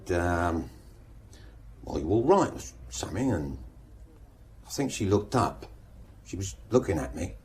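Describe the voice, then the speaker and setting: An actor playing a nervous, evasive suspect in a radio drama police interview, halting and stumbling over his words. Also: low voice, a little mellow, slight background noise, heavily acted. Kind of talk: naturalistic radio drama acting.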